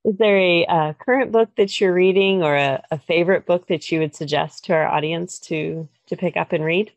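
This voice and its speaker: A woman hosts an interview in a very low, gravelly voice. She speaks politely and in a measured way. The sound is dry and close, with no room echo. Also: dull audio. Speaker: podcast host. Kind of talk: interview hosting.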